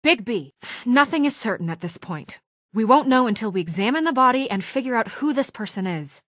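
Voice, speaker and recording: A woman acting in a video game as a composed, weary and stern administrator who speaks in a crisp, businesslike, quietly troubled way. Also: fairly high pitch, expressive, brisk, no echo, clean studio sound. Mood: businesslike, firm.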